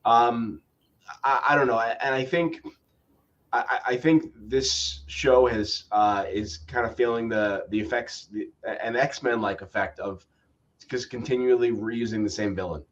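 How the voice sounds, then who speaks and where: A man's voice: mid-range voice, fairly even intonation, a little slow, muffled recording. A man co-hosting a casual show review, talking hesitantly with many stammered restarts.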